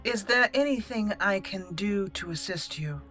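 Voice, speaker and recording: A woman hosting a game playthrough, roleplaying aloud with theatrical, deliberate phrasing. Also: medium pitch, lively intonation, a bit bright, soft background music. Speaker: gaming channel host.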